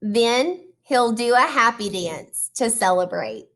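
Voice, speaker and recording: Female storybook reader for young children: high-pitched, unhurried, expressive teacher delivery. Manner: addresses children directly with questions and praise. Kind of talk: children's storybook read-aloud.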